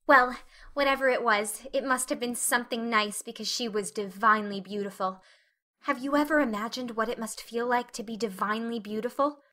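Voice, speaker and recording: A chatty, imaginative young girl, played by a woman, high and very bright in tone. She is earnest, effusive and dramatic, as in a storybook reading. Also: brisk. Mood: curious, dreamy. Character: talkative, dreamy, dramatic eleven-year-old orphan girl.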